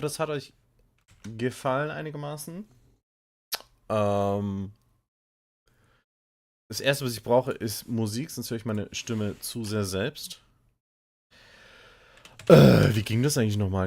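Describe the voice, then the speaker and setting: A man's voice: fairly low pitch, darkish tone. A man hosting a live stream, chatting casually and thinking aloud with fillers. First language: German. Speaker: streamer and show host. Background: faint music.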